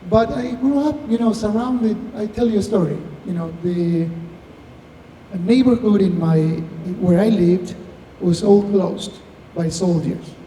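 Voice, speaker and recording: A man in his fifties with an Argentinian accent and Spanish as his first language, speaking haltingly and reflectively as he answers questions at a live event. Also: sits high, warm tone. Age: 50s. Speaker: tech founder, guest speaker. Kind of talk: live Q&A, anecdotal talk. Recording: distant echoey hall, faint noise.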